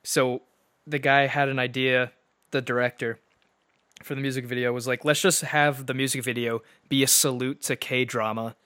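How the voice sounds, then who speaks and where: A man's voice: medium pitch, flat delivery, moderate pace, bright, forceful tone, no echo, clean studio sound. A young man co-hosting a podcast, chatting casually about music, rambling with lots of "like" and laughing easily. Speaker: podcast co-host.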